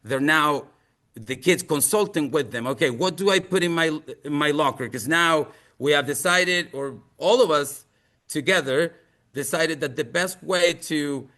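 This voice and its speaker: Male presenter, Chilean accent, native Spanish speaker. Slightly high, bright forceful voice, deliberate and earnest delivery. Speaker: museum outreach presenter. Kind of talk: presentation to an audience with slides.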